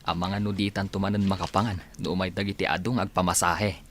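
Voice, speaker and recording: A man's voice: sits low, flat delivery, fast, fairly bright tone. A man playing a plain-spoken, concerned village husband in a radio drama, talking in a conversational, matter-of-fact way.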